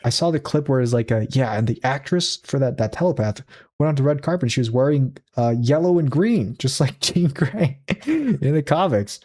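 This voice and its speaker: A man's voice: medium pitch, expressive, normal speed, a little mellow. A man co-hosting a podcast in casual chat, rambling with lots of fillers and frequent laughs. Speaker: podcast co-host.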